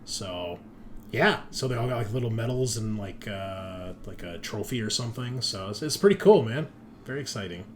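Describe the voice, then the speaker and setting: Male game master, low voice, sweary casual banter, clear background noise. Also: animated, normal speed. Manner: sweary, teasing.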